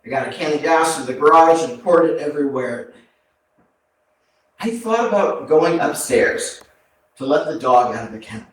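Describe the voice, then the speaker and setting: A man in a staged play reading, acting a remorseful prisoner who confesses to killing his family in halting, subdued, terse phrases. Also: fairly high pitch, animated, close to an everyday voice. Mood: bleak. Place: arts center stage, indoors, recorded from afar. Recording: very echoey hall, distant mic, background noise.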